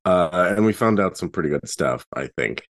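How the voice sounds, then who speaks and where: Man as skeptical, earnest sleuth in a tabletop game, deep voice, feeling his way through sentences. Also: lively intonation, fairly bright tone. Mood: explaining. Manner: hesitant, halting. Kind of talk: improvised in-character tabletop dialogue.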